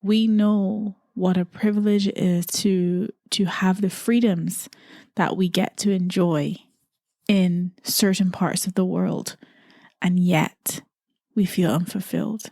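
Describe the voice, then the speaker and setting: A middle-aged woman from Barbados speaks on her own podcast in an earnest, reflective way, circling back to key phrases for emphasis. Her voice sits a little low, and the recording is dry and close with no room around it. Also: fairly even intonation, takes it slowly, dark tone, no echo. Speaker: podcast host. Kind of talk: reflective solo podcast talk.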